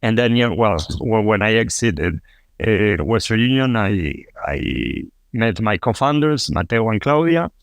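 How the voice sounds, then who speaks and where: A man from Argentina with a low voice and a gravelly edge tells his career story on a podcast. He speaks haltingly, restarting phrases and filling pauses, into a dry, close remote mic. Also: sing-song, no echo. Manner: halting, many restarts and fillers. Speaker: podcast guest, fintech co-founder. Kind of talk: casual interview answers.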